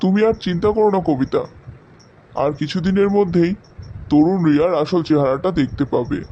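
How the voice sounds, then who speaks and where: A man's voice: a little high, a little fast, warm tone, natural voice. A man voicing a protective, determined young man in cartoon drama dialogue. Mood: caring. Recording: slightly dull sound, faint noise.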